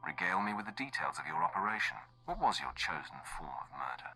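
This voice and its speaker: Very deep, forceful, ruthless acolyte. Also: male voice, sing-song, fast, breathy edge, soft background music, heavily acted. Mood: confident, commanding. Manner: menacing, sardonic. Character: cold, ruthless young male Sith acolyte. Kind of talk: scripted video game dialogue.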